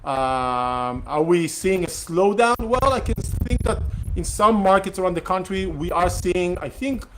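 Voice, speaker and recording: Middle-aged host, Hebrew first language; hesitant and filler-heavy, slightly high-pitched; faint noise floor. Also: male voice, expressive, unhurried, low-quality recording. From Israel. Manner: hesitant, many fillers and restarts. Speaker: real estate investor hosting a Q&A session. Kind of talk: casual live-streamed talk.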